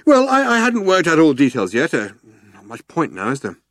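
A man voicing a quick-witted, breezy alien traveller in a comic audiobook, persuasive and flippant, improvising haltingly. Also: fairly high pitch, wildly swooping pitch, no echo, clean studio sound, voice pushed up. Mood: resigned, flippant.

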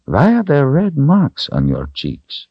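A man voicing a kindly old wizard in a storybook, soft-spoken, gentle and reassuring. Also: on the high side, wildly swooping pitch, takes it slowly, husky, no echo, highs cut off, voice pushed up, exaggerated voice. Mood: tender concern. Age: older.

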